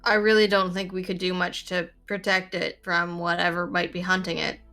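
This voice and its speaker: A player voicing a blunt, pragmatic adventurer in a tabletop game, with dry remarks. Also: female voice, fairly low pitch, level tone, normal speed, pushed and bright. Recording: faint background music.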